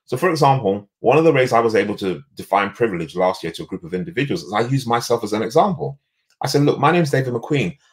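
A middle-aged man with a deep, resonant voice, talking emphatically as a guest on a video call from a home office. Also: fast. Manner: animated, emphatic storyteller. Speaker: guest, leadership coach.

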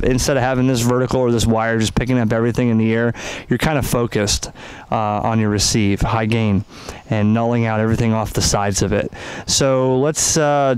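A man's voice: mid-range voice, clean tone, dry recording. A man casually explaining gear in an informal hands-on product demonstration, recorded outdoors from behind a handheld camera. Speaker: amateur radio product reviewer. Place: outdoors in a backyard. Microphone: handheld camera microphone, operator behind camera.

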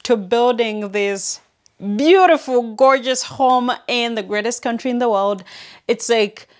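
A woman from Uganda in her thirties sits in her big walk-in closet and talks straight to the camera. Her voice sits a little high, and she gestures a lot as she speaks. She is chatty and loose, full of likes and restarts. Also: a little slow. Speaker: vlogger. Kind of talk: casual talk to camera. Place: large walk-in closet at home, indoors. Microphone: seated, medium framing to camera.

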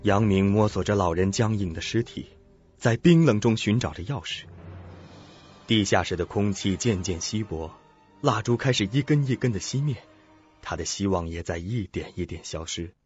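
Low-voiced male suspense narrator. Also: moderate pace, darkish tone, breathy edge, highs cut off. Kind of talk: dramatic scripted suspense narration. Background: music.

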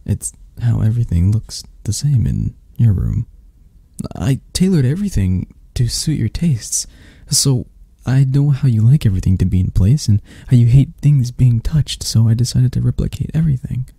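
A man playing a dark-voiced, obsessed lover. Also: medium pitch, big pitch swings, dry recording, heavily acted. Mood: adoring, possessive. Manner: slow, intimate, breathy sighs and pauses. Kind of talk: ASMR romantic roleplay.

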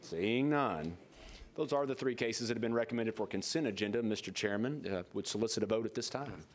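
A man's voice: on the low side, lively intonation, a little fast. A middle-aged man speaking formally as a board official at a public meeting, reading procedure into a podium microphone. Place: indoor meeting room.

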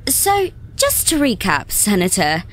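A woman playing a sassy, inquisitive, defiant reporter in a sci-fi audio drama, expressive and sarcastic. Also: medium pitch, unhurried, soft background music. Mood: polite, professional.

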